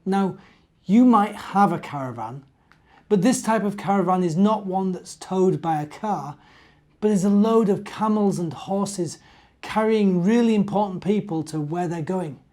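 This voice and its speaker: A middle-aged British man speaks in a very mellow, fairly high voice. He tells stories for young children as a minister, chatting simply and putting questions to his little listeners. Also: unhurried. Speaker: church minister and storyteller.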